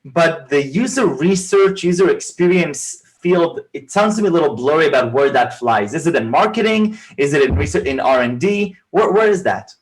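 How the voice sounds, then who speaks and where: A man's voice: on the high side, expressive, moderate pace, few pauses, low-quality recording. A man hosting an interview over a video call, enthusiastic and often restarting his words as he asks questions. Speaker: podcast host. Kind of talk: casual interview talk.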